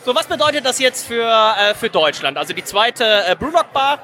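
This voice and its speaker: A man's voice: very high voice, big pitch swings, brisk, sharp tone. A man interviewing a guest, speaking fluently and asking long, enthusiastic questions.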